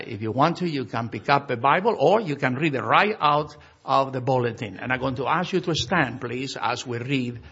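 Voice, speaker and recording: Pastor from Argentina, middle-aged man, husky voice, halting delivery, muffled lo-fi recording. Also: medium pitch, a bit bright. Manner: halting, with frequent uh fillers. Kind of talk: memorial service address.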